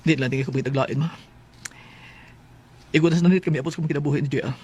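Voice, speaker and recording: A man acting in a Filipino Bisaya radio drama as a gentle, hesitant, pleading husband and devoted father. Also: fairly high pitch, steady tone, quick pace, a little hiss or hum, lightly acted. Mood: subdued, resigned.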